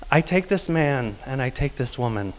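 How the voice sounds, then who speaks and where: Male storyteller from Texas, soft feminine-leaning voice, confessional and wryly humorous, mid-range pitch, long hall reverb. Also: animated, normal speed. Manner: confessional and wry, with light laughs. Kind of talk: live personal storytelling monologue.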